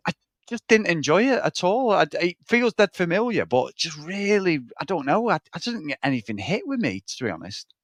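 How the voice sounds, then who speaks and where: A man's voice: mid-range voice, fairly bright tone, no echo, clean studio sound. Frank rambling man. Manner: rambling, frank, swears casually. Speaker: podcast co-host. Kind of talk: casual film review chat.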